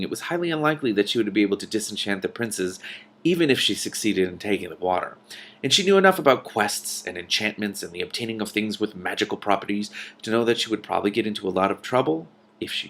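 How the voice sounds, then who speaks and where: Low-voiced man reading a story aloud. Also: creaky, a bit bright. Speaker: reader and commentator. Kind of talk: storybook read-aloud with casual commentary.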